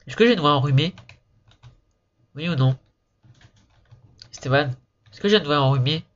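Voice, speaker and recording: A man's voice: fairly high pitch, muffled recording. A man making a gaming video, chatting casually in a slangy, rambling way, with some phlegm in his throat. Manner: slangy, rambling, talks fast. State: says he is sick, phlegm in throat. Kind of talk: casual gaming chat with an upbeat video intro.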